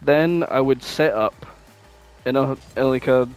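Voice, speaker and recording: A man chats casually as a podcast co-host, in a middling-pitched voice. He rambles and swears freely, thinking out loud in an animated way. Music plays behind him. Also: a little slow, clean tone. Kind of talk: casual chat.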